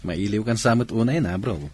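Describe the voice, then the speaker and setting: A man's voice: on the low side, darkish tone, slightly rough, no room sound, full character voice. A man with a Filipino Ilocano accent acting as a brotherly soldier in a radio drama. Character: soldier with a secret lover, brotherly.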